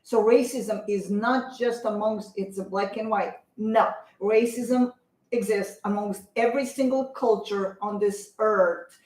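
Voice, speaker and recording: A woman's voice: medium pitch, steady tone, measured pace, a little mellow, reverberant, low-quality recording. A woman co-hosting a talk show, speaking emphatically and insistently, as if lecturing. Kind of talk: opinionated talk show discussion.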